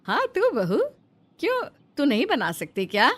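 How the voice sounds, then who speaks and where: Middle-aged mother-in-law played by a woman, well-meaning, lively intonation, highish voice, cartoon family drama. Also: normal speed, full character voice. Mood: motherly. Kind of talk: melodramatic Hindi moral-story cartoon acting.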